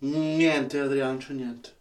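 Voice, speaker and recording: A woman's voice: rumbling low, steady tone, measured pace, reverberant. A woman playing a weary, lonely but kind neighbour in a radio drama, plain-spoken, with a Roman accent. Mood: downcast. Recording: distant from the mic.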